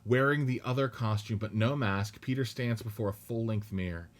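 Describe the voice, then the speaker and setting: Low-voiced man reading stage directions. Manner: measured, matter-of-fact reading. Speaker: narrator.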